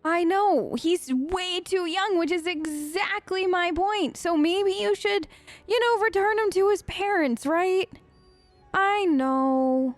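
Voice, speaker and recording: A woman's voice: extremely high pitch, slow, no echo, soft background music. A woman hosting a role-play let's play, chatting casually and a little hesitantly to game companions in character.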